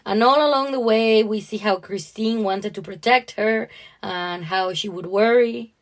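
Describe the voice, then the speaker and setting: A woman's voice: mid-range voice, unhurried. A woman co-hosting a writing podcast, discussing a story conversationally in an appreciative, explanatory way.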